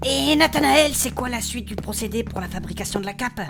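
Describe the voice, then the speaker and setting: A woman's voice: fairly high pitch, expressive, brisk, vocal fry, music in the background. A woman playing a bossy, brash adventurer who leads her party in a comic fantasy audio drama, blunt and colloquial. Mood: casual.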